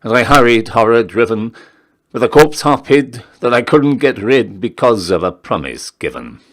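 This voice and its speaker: A man reciting a narrative ballad in a measured, theatrical storytelling style with deliberate pauses. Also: mid-range voice, animated. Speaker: poetry reader, town crier.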